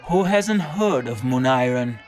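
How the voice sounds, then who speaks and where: An actor playing a wry, weary folk-hero detective in an audio drama, speaking in a measured, deliberate way. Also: male voice, mid-range voice, expressive, a little mellow, close to an everyday voice. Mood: dry, curious. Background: music.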